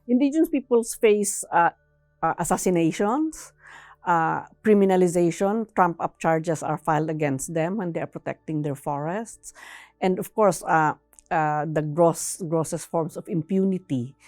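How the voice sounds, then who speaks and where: A woman giving explanatory interview answers in a measured, halting way, sometimes tagging phrases with a questioning "no". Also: lowish voice, a little mellow, dry recording, clean studio sound, soft background music.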